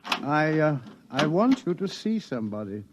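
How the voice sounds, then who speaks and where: An actor playing an authoritative middle-aged scientist who lectures deliberately and ominously about coming doom, in an old radio drama style. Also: male voice, a little high, sing-song, background noise. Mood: darkly wry. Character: authoritative older male scientist explaining impending doom. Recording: dull lo-fi old radio recording.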